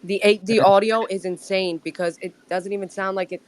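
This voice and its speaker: A woman from Brooklyn gushes in a casual fan chat. Her voice sits mid-pitched. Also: steady tone, fairly quick, darkish tone. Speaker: fan on a group call.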